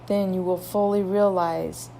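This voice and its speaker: A woman leading a guided meditation, soothing, with drawn-out phrases and long pauses between them. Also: fairly low pitch, steady tone, gravelly, warm tone, slight background noise.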